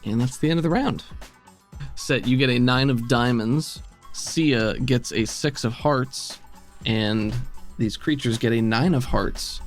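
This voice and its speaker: A man's voice: mid-range voice, measured pace, faint music behind. A man acting as game master of a tabletop role-playing game, talking casually.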